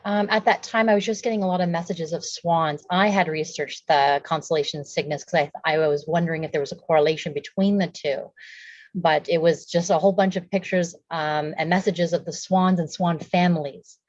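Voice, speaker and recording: A woman panelist in a casual spiritual discussion, speaking in a tentative, halting way over dull, lo-fi audio. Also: on the low side, fairly even intonation, no room sound.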